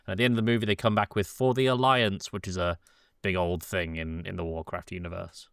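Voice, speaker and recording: A man's voice: low-pitched, little pitch movement, a little fast, vocal fry, a bit bright, clear, resonant tone, dry recording. A man co-hosting a film podcast, informally steering the chat and laying out facts.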